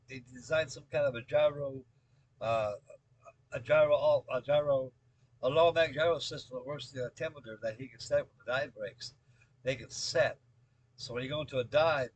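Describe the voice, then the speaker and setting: An American man in his late seventies with a husky voice, chatting casually as a hobby video host in a halting, rambling way, often repeating himself. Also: on the high side, poor audio. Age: late 70s. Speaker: scale model builder and video host. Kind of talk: casual update chat with rambling storytelling.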